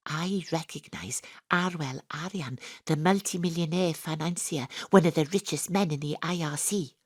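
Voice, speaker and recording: A brash woman private detective narrates in a breezy, sweary, irreverent way. Her voice is fairly low. The sound is dry and close, as in a home studio. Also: normal speed, breathy edge, no echo. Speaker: narrator and lead character. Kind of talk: first-person comic audio drama narration.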